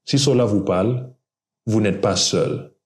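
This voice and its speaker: A young Kenyan man with a Kenyan accent, whose first language is Luo, speaking French in a calm, measured and earnest scripted monologue. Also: on the low side. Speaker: video creator.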